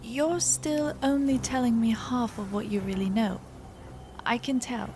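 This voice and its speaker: An actress playing a wry, self-possessed adventurer in a video game, speaking in a dry, direct, sceptical way. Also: female voice, on the high side, fairly even intonation, unhurried, soft background music.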